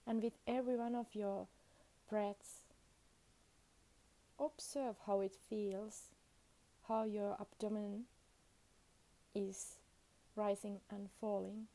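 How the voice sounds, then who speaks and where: Slow, creaky-voiced woman guiding meditation. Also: medium pitch, level tone, warm tone. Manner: soothing, unhurried, with long pauses between short phrases. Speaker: meditation and yoga guide. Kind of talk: guided meditation.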